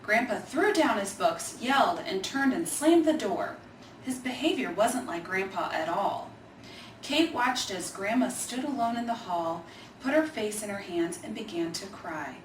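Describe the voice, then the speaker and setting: A woman reading a children's story aloud in an expressive, measured way, with emphasized phrases. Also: medium pitch. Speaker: Alzheimer's organization staffer reading a children's book. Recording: echoey room, distant mic, faint noise.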